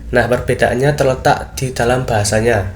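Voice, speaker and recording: A man's voice: mid-range voice, fairly even intonation, moderate pace, a little hiss or hum. A teenage boy presenting a school lesson, explaining in an earnest, step-by-step way with a few restarts. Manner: earnest, with frequent fillers and restarts. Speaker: student presenter. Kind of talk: class presentation, explaining material.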